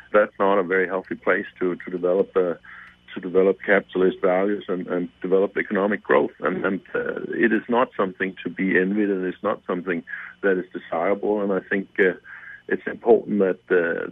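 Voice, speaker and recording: A man who is a bank executive, answering interview questions in a halting way, with many fillers and repeated words. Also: low voice, slightly rough, no room sound. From Denmark. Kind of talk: phone interview. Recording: tinny phone line.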